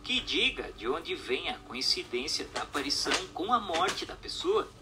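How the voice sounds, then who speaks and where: A man's voice: on the high side, sing-song, moderate pace, pushed and bright, a little hiss or hum. A man with a Brazilian accent reading a formal, didactic text aloud as scripted narration.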